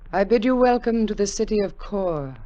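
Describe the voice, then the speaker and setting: An actor playing a mysterious veiled ruler, regal and imperious, with formal pronouncements in 1940s radio style. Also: male voice, high voice, lively intonation, normal speed, dark tone. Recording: muffled vintage 1940s radio recording.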